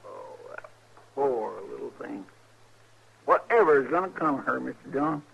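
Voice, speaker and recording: An actor playing a fussy, deferential, tender-hearted frontier sidekick with a rural American drawl in an old radio Western. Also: male voice, mid-range voice, animated, moderate pace, gravelly, fairly bright tone, husky, exaggerated voice. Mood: subdued, sympathetic. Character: folksy, querulous, tender-hearted deputy sidekick to marshal. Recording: dull vintage radio recording.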